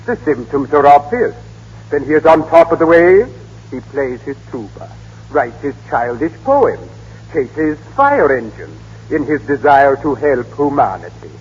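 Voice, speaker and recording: Lecturing psychiatrist, pompous, rough husky voice, muffled vintage radio drama. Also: male voice, a little high, very animated, a little slow, exaggerated voice. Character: pompous male Austrian psychiatrist giving expert testimony. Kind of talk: 1930s-40s radio drama acting. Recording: echoey, muffled old radio broadcast.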